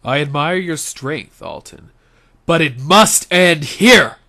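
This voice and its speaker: A man's voice: fairly high pitch, expressive, slow, bright, forceful tone, heavily acted. A man voicing a grim, haughty villain warrior in a menacing, theatrical way for a video game. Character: grim, haughty male villain warrior serving dark lord. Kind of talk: video game character acting.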